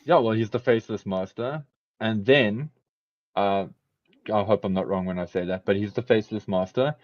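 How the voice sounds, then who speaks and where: A man's voice: on the low side, moderate pace, no echo, highs cut off. A man from Africa, hosting a casual podcast chat. He rambles, corrects himself often and laughs a lot. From South Africa. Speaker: podcast host.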